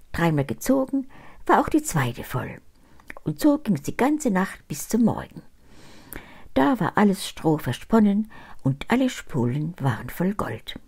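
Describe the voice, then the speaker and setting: A woman in her seventies reading a fairy tale aloud at home in an expressive, lively way, with a camera set up at table distance. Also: on the low side, gravelly, husky. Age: older, about 70s. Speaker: storyteller reading fairy tales. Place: cozy home study with bookshelves, indoors.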